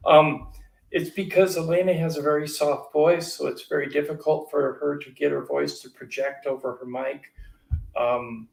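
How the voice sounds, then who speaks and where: A man's voice: on the high side, steady tone, normal speed, gravelly. A man hosting a live online interview, chatty and informal. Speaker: host. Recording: roomy, distant from the mic.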